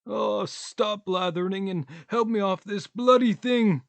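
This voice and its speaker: A man's voice: high voice, unhurried, creaky, no echo, voice pushed up. A man voicing an irritable, gloomy vagrant in a radio play, curt and complaining. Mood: gloomy, annoyed. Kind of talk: theatrical radio play acting.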